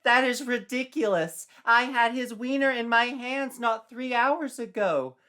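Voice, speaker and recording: A man voicing an indignant, distressed young woman in a story, with a shrill, protesting manner. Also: measured pace, pushed and bright, heavily acted.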